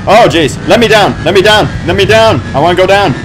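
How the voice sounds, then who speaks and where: A man doing casual let's play commentary, excitable and exclamatory, with swearing and silly made-up words. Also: high-pitched, brisk, rough recording. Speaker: gamer playing a first-person shooter. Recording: game gunfire and noise behind voice. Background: video game combat sounds.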